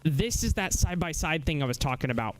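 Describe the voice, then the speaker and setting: A man hosting a casual live software demonstration, talking haltingly as he thinks aloud. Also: fairly high pitch, expressive, faint music behind. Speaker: live stream host.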